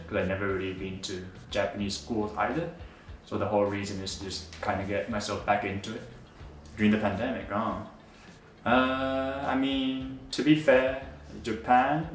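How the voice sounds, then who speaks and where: A young man with a lowish voice answers interview questions in a loose, rambling way. He is recorded from a distance in an echoey room, with faint noise behind him. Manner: relaxed, rambling with fillers. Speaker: student interviewee.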